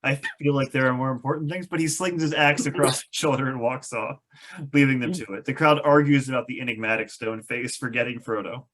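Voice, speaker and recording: A man's voice: medium pitch, steady tone, fairly quick, fairly bright tone. A man narrating a table read of a screenplay, reading stage directions with wry, amused asides.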